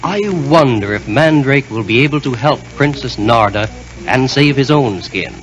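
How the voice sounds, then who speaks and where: A man's voice: mid-range voice, normal speed, loud music behind the voice, poor audio. A man announcing a 1940s radio serial in dramatic, scripted, suspense-building style.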